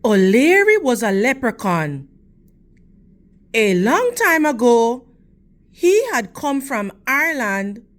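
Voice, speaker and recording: A woman reads a picture book aloud for young children at an unhurried pace. Her pitch moves up and down in a lively way, and she speaks like a teacher, prompting her listeners as she goes. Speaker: children's read-aloud storyteller. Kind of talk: storybook read-aloud with questions to young children.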